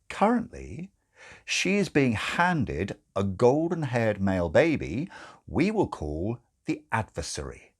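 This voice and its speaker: A man reading a comic story as narrator, in a measured, dramatic and slightly arch way. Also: lowish voice, slightly rough. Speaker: narrator, audiobook reader.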